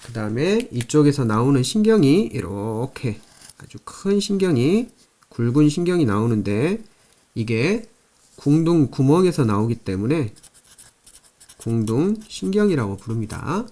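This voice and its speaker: A man's voice: mid-range voice, a little mellow. A man teaching anatomy in a recorded lecture, explaining slides step by step and repeating key terms with frequent fillers.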